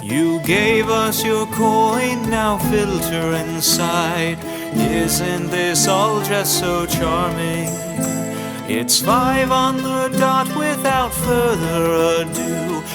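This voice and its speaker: A woman's voice: on the low side, very slow. A woman singing a comic storytelling ballad over plucked guitar, like a minstrel.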